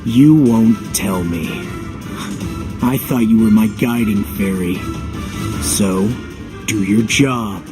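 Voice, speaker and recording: An actor playing a brooding, skeptical young warrior hero in a video game, blunt and defiant. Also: male voice, on the low side, takes it slowly, pauses often, over music. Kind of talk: video game cutscene acting.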